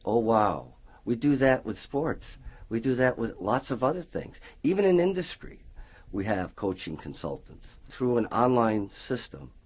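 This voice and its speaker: A man, a college speech professor, explaining a program in an interview with animated enthusiasm. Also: mid-range voice, unhurried, husky. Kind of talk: interview answers.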